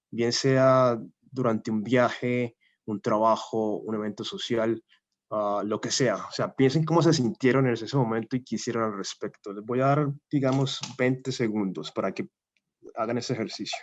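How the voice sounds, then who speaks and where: A man giving an academic talk as a guest lecturer, speaking in a measured, hesitant way with many "eh" fillers. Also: medium pitch. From Colombia. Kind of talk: academic talk with a personal story. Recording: muffled lo-fi audio.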